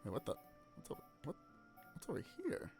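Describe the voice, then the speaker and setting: A man's voice: fairly quick, a little mellow, faint music behind. A man live-streaming a game, chatting casually, laughing often and swearing freely as he narrates his play.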